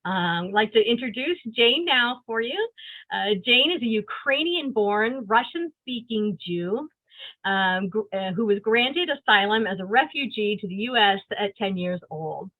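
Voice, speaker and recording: Woman host, bright crisp voice, dry close sound, video call, introducing a poet. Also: medium pitch, expressive, a little slow, no room sound, rough recording. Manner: reads a guest introduction with small hesitations. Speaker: host and editor. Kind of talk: introducing a reading. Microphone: video call microphone.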